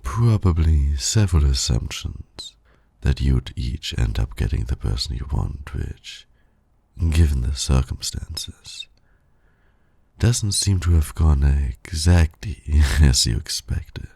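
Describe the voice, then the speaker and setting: A man performs a suave villain flirting with his nemesis in an ASMR roleplay. His voice is dark, very breathy and full of gravel, recorded close and dry. He teases with formal, silky condescension. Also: rumbling low, lively intonation, slow pace, dry recording, exaggerated voice. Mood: knowing, teasing.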